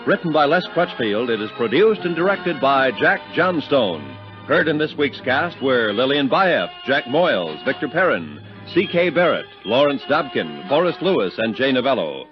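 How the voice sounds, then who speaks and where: A man's voice: mid-range voice, very animated, moderate pace. Old timey american radio announcer guy doing credits, very up and down delivery, music behind, muffled sound. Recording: dull 1950s radio recording, music behind.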